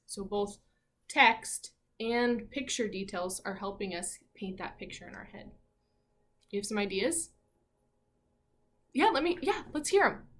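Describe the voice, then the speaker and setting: A woman teacher leading an interactive read-aloud for young children, asking questions and reading with animated emphasis. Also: medium pitch. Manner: asks questions and pauses as if hearing answers. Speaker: elementary school teacher.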